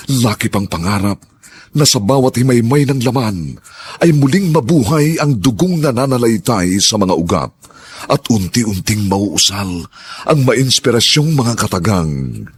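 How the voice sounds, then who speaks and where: A man narrating and announcing a radio drama with solemn, theatrical, drawn-out phrasing. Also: fairly low pitch, big pitch swings, a little hiss or hum.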